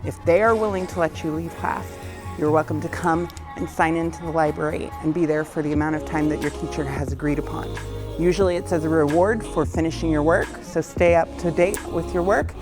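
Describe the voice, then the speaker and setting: Woman, school librarian, friendly and firm, lowish voice, clear music behind. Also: fairly even intonation, brisk. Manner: upbeat and friendly, firm on rules. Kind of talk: school announcement video.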